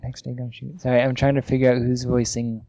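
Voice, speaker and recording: A man casually reading amateur fan fiction aloud on a podcast, halting and amused, with asides. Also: mid-range voice, little pitch movement, gravelly, mellow, dry recording, muffled recording.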